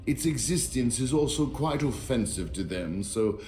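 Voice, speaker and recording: An actor playing a polite, sly servant in a video game, courteous and dryly understated. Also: male voice, medium pitch, animated, normal speed, warm tone, close to an everyday voice. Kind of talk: video game character acting. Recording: roomy sound with faint music.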